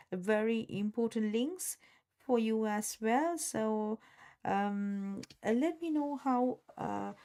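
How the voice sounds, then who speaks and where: Woman selling study abroad services, lots of ums, high-ish warm voice. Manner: hesitant, many fillers and restarts. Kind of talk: casual promotional talk.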